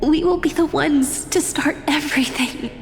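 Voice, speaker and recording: A woman voicing a gentle young guardian of time in a game cutscene, soft, wistful and often whispery. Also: high-pitched, moderate pace, slight background noise. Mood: tender. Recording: reverberant with music behind.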